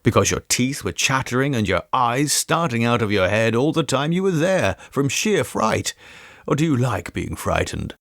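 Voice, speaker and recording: A man voicing a big, sceptical gentleman who talks in an assertive, articulate, explanatory way in an audiobook ghost story. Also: mid-range voice, big pitch swings, normal speed, no echo, clean studio sound. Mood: teasing.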